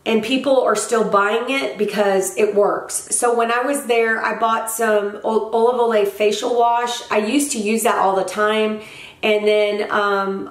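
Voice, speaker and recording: A young woman with a scratchy, hoarse voice left over from a cold, chatting enthusiastically through a beauty product review. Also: mid-range voice, reverberant. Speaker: beauty vlogger.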